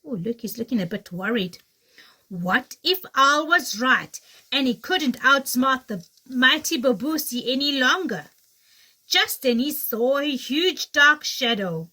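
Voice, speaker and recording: A woman teacher reading a children's story aloud in an animated way, addressing young children. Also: high voice, measured pace, poor audio. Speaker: pre-primary teacher, storyteller.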